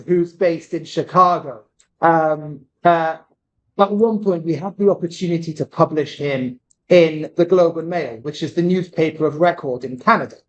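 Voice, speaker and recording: A man, a company CEO, answering interview questions in a thoughtful, halting way and often restarting his phrases. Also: fairly high pitch, dull audio. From Britain. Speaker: company CEO, podcast guest.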